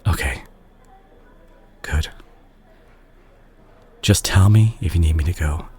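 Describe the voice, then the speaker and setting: A man playing a remorseful, guilt-ridden doctor ex-boyfriend in an intimate romantic audio drama, speaking haltingly and tenderly with a husky voice. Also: extremely low pitch, a little mellow, a little hiss or hum. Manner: halting, emotional, with sighs and repeated words. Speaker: audio roleplay performer.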